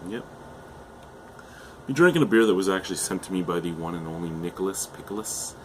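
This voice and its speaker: A young man from Canada with a low voice that is going hoarse talks his way through a beer review at home. He rambles casually, with plenty of "uh"s and restarted sentences. A faint air-conditioner hum sits underneath. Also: lively intonation, brisk, poor audio. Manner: chatty, hesitant, with frequent fillers and restarts. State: losing his voice.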